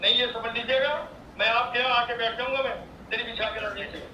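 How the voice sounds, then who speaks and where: A man's voice: very high voice, fairly even intonation, fast, bright, forceful tone, distant mic. A male legislator on a recorded phone call, insistently scolding and threatening the person on the other end. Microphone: phone call replayed through a speaker. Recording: muffled leaked phone call, echoey playback, noisy.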